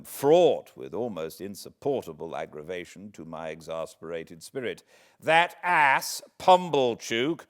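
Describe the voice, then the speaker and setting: A man, reading a classic novel aloud in measured, expressive storytelling. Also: fairly low pitch. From Britain. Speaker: audiobook narrator. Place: cozy home spot beside a fireplace.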